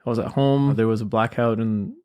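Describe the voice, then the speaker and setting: A man with a Colombian accent speaking in an easygoing interview chat. His voice is smooth and resonant, at a middle pitch. He talks thoughtfully, pausing and restarting as he finds his words. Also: fairly even intonation, darkish tone. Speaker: guest musician.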